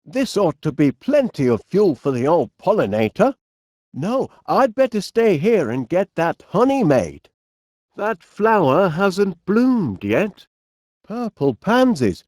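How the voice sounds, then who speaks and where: A man's voice: sits high, a little mellow, no room sound, clean studio sound, full character voice. A man playing a cheerful, bumbling middle-aged inventor in a comedy game, with a Northern English accent and a politely flustered, hesitant manner. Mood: chatty, musing. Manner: hesitant, stammering politeness with quaint exclamations. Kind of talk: animated comedy game voice acting.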